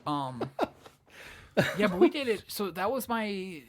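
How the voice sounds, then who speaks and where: A man's voice: high voice, very animated, takes it slowly. A man, a comedian guest, telling stories in casual podcast chat, often restarting and repeating his phrases.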